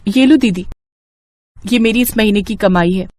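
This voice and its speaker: A woman's voice: mid-range voice, normal speed, a little mellow, no echo, clean studio sound, natural voice. A woman voicing a trusting, easily hurt younger sister, a college student, in an earnest, emotional manner for a story cartoon. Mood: affectionate. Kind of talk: Hindi moral-story cartoon dialogue.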